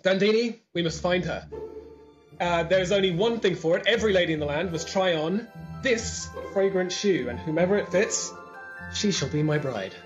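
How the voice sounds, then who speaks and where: A man's voice: high-pitched, moderate pace, music in the background, lightly acted. A young man playing a lovestruck, slightly dim pantomime prince in a hammy style, recorded dully over a video call at home. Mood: grandly resolved. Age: young adult. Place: living room at home. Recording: dull video-call sound.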